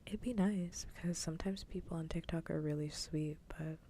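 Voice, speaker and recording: A woman livestreamer chatting casually in a soft ASMR style, mostly in a whisper, close to the microphone. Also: normal speed. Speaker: livestreamer host. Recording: faint background noise, low rumble.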